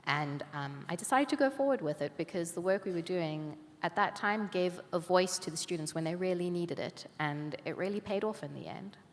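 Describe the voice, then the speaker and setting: A woman teacher speaking on a panel, telling a personal story in a reflective, measured way. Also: on the low side, expressive. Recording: long reverb of a conference hall.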